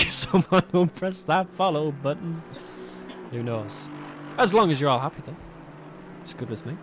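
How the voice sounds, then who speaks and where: A young man calls a sim race on his own in a fairly high voice, chatty and quick to laugh at himself. His voice is going slightly hoarse, and clear racing car engines run under him. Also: background noise. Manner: chatty, self-mocking, laughs often. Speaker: race commentator. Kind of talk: solo sim racing commentary. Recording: game car sounds behind.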